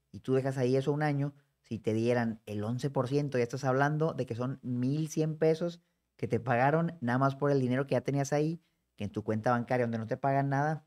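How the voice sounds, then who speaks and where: A man, explaining savings options in a lively, conversational tutorial that speaks straight to the viewer. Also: medium pitch. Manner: brisk, conversational, addresses viewer directly. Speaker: personal finance educator. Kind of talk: explanatory screen-share tutorial.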